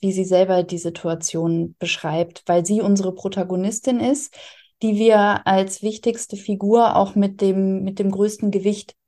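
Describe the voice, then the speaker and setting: A woman's voice: mid-range voice, warm tone. A woman writer explaining her work in conversational interview answers. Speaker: interviewed audio drama writer.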